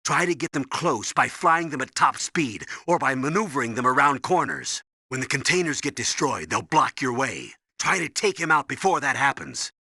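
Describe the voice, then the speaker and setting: Stern, hard-edged colonel. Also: male voice, medium pitch, animated, moderate pace, few pauses, bright, forceful tone, no room sound, clean studio sound, full character voice. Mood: instructive. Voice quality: husky. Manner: commanding, urgent, instructive. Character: stern, urgent older male colonel giving mission orders. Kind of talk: video game voice acting.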